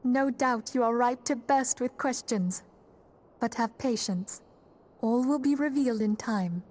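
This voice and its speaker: High-voiced, earnest young leader. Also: female voice, unhurried, darkish tone. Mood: earnest, composed. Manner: sincere, poised, formal speech. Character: earnest, kindly young female leader of secret order. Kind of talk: fantasy video game voice acting.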